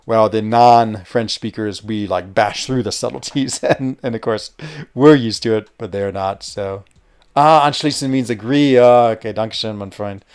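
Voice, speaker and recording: A man's voice: on the low side, moderate pace, darkish tone, smooth. A young American man hosting a gaming livestream, chatting in a rambling, casual way with plenty of fillers and hesitations. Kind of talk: casual live-stream chat while playing.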